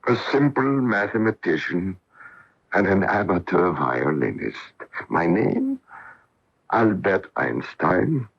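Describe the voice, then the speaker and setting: An actor playing a weary, gentle old genius in a radio drama, speaking in a courtly, deliberate way with a gravelly, husky voice. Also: male voice, low-pitched, sing-song, heavily acted. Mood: serene, weary. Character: weary, gentle old genius, male, godlike welcoming host. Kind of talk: 1970s-80s radio drama acting. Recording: dull lo-fi AM radio recording.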